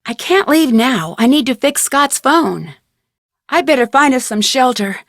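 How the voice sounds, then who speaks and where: A young American woman voicing a plucky, inquisitive amateur detective in a scripted video game, speaking in a crisp, conversational way. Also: high voice, expressive, measured pace, fairly bright tone, dry recording, studio quality, heavily acted. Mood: thoughtful, practical.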